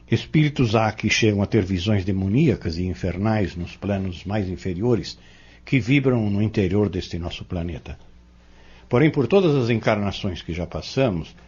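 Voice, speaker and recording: A Brazilian man acting in an audio novel as a patient, earnest Spiritist teacher, explaining doctrine in measured, emphatic phrases. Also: lowish voice, darkish tone, breathy edge. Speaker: Spiritist instructor in an audio drama. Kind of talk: didactic audio-novel dialogue. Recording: dull, lo-fi audio.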